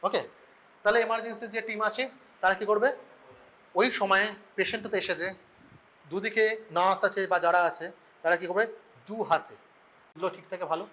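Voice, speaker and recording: A male medical lecturer with a Bengali Indian accent and a notably high voice. He speaks quickly in an informal classroom style, posing questions, answering them himself and checking that everyone follows. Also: fairly bright tone, a little hiss or hum, poor audio. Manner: explains through questions and answers, repeatedly checking understanding.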